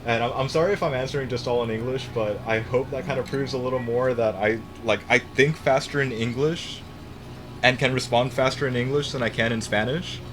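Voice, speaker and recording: A man's voice: medium pitch, fairly even intonation, a little fast. A young American man casually chatting while playing a game on a live stream, rambling, swearing and restarting his sentences. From United States. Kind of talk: casual gaming stream chat.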